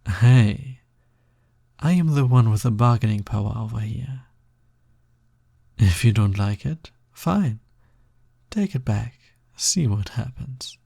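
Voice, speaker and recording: A man playing a teasing, smug, touch-starved naga roommate in an intimate audio roleplay, coaxing in a soft, drawling, breathy voice. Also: medium pitch, lively intonation, slow pace, long pauses, no echo, studio quality, exaggerated voice. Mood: bargaining, smug. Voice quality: breathy, soft, slightly gravelly.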